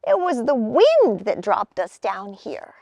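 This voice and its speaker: A woman voicing an excitable little acorn boy in a storybook, eager and pleading. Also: slow, creaky, dry recording, dull audio, full character voice. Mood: anxious pleading. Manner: eager, high-spirited, pleading.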